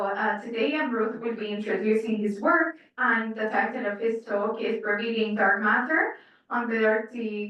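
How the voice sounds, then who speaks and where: A woman's voice: mid-range voice, a bit bright. A woman hosting a seminar, politely introducing the speaker and correcting herself now and then. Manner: polite, slightly halting with self-corrections. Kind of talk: formal introduction. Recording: echoey room, far from the mic.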